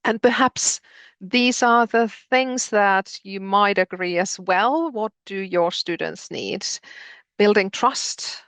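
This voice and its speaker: Finnish lady presenting a webinar, low gravelly voice, dry close sound, lots of ums. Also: takes it slowly, fairly bright tone, no echo. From Finland. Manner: hesitant, many ums and restarts.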